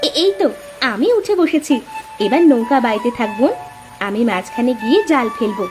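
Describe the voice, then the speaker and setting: A woman's voice: fairly high pitch, sing-song, brisk. A woman voicing a determined young village sister in a cartoon, speaking earnestly and chattily. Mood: eager. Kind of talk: Bengali moral-tale cartoon dubbing. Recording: loud music under the voice.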